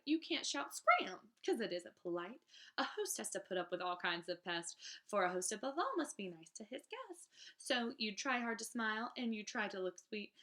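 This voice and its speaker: A woman's voice: a little high, a bit bright. A woman reading rhyming children's verse aloud in a theatrical, emphatic teacher's manner, drawing out key phrases.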